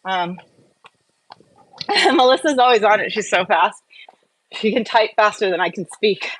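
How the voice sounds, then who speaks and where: A woman's voice: medium pitch, measured pace, a bit bright, poor audio. A woman hosting a casual live Q&A, talking in an informal, rambling, chatty way. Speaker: live-stream host.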